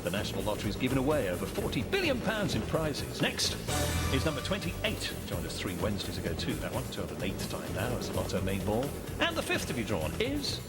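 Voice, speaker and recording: A man announcing a televised lottery draw in a showy, sing-song manner, with loud music behind him. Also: fairly low pitch, huge pitch swings, fairly quick. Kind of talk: live TV draw commentary.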